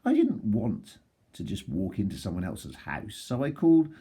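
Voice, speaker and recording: Storybook wolf, played by a male reader: folksy, self-justifying, mock-innocent, raspy warm tone, very animated delivery for children. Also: fairly low pitch, normal speed, pitched below the performer's own voice, exaggerated voice. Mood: mock-innocent storytelling. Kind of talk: expressive children's storybook read-aloud.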